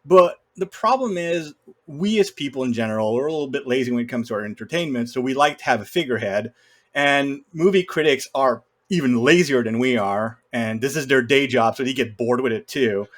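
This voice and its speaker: Man, mid-pitched voice, casual film podcast panelist, opinionated, explains through mimicked quotes. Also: fairly quick, a bit bright. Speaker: podcast panelist discussing films.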